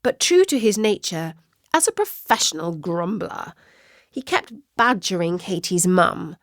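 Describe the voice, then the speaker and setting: Unhurried, expressive woman storyteller. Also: fairly low pitch, no echo, studio quality. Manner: measured, expressive. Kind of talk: scripted children's story narration.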